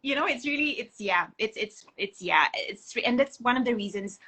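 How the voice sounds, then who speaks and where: A woman's voice: a little high, expressive, normal speed, pushed and bright. A woman from Singapore hosting a conversational interview, speaking effusively and repeating words for emphasis. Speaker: interview host.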